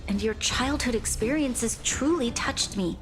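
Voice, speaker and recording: Woman playing a composed, higher-pitched young female leader. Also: natural voice, poor audio. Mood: earnest, diplomatic. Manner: formal, measured, diplomatic. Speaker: game character, guardian leader. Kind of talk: scripted video game voice acting. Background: game music.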